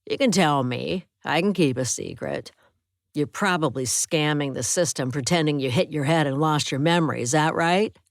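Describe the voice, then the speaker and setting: A woman reading a gruff, menacing male hospital patient who taunts in a snide voice. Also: low-pitched, normal speed, no room sound, studio quality. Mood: taunting.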